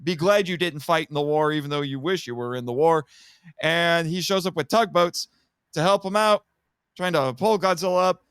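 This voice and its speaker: A man giving an animated, casual plot recap as a podcast guest, telling it dramatically and acting out the characters' lines. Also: fairly high pitch, moderate pace, clean tone, dry recording. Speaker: podcast guest reviewing a film.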